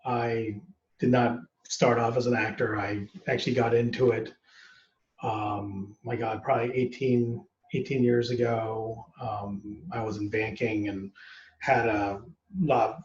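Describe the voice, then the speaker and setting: A man's voice: mid-range voice, measured pace. A man in his forties, an actor guest on a podcast, chatting casually and rambling with lots of "you know" and "um.". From United States. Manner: relaxed, rambling, many "you know" and "um" fillers. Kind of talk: casual interview chat. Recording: roomy, muffled recording.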